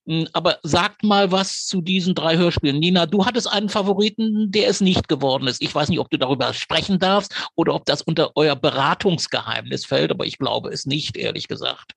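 A man's voice: fairly high pitch, brisk, creaky, hardly any pauses, a bit bright, slightly rough, no room sound. A man hosting a podcast interview, moderating in a conversational style. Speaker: podcast host.

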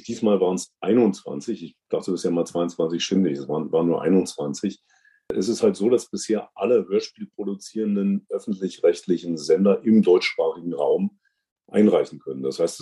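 A man's voice: deep voice, dark tone, breathy edge. A man speaking as an interview guest, explaining a process carefully, step by step. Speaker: interview guest, jury member.

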